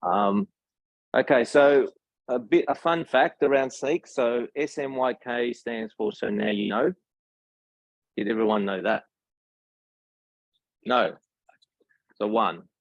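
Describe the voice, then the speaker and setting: A man's voice: medium pitch, slow, pauses often, dry recording, dull audio. A man with an Australian accent giving an informal technical talk at a meetup, speaking conversationally and checking in with his listeners. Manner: conversational, addresses the audience with questions and tag "right?". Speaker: tech company presenter.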